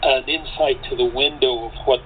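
A man speaks haltingly in a casual group conversation, pausing partway through his thoughts. His voice comes through thin and phone-like, with faint noise in the background. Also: mid-range voice, fairly even intonation. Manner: halting, pausing mid-sentence. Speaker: cast member being interviewed. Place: rural area in the middle of the country. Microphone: online call.

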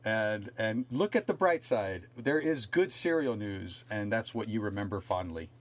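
A man's voice: on the low side, animated, normal speed. A middle-aged man co-hosting a podcast, chatting casually and comedically in a wry, mock-earnest way. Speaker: podcast co-host.

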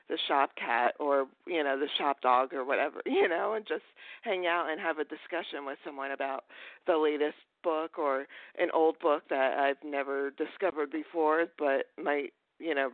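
A man's voice: fairly high pitch, no room sound. A man hosting a casual interview over a phone call, speaking in a hesitant, rambling way. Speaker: podcast host, interviewer. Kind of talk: casual chat. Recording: tinny narrow phone line.

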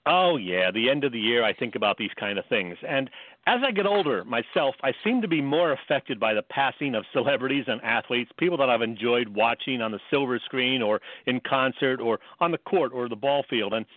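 A man's voice: medium pitch, lively intonation, brisk, no room sound. A middle-aged man co-hosting a sports radio show, delivering talk and commentary. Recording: narrow phone-like radio sound.

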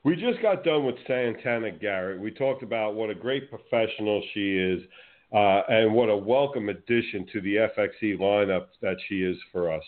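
A man from New Jersey with a New Jersey accent, hosting a wrestling podcast in a brash, outspoken way. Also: on the low side. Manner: brash, outspoken, animated storytelling. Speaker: podcast host. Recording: phone-like narrow audio.

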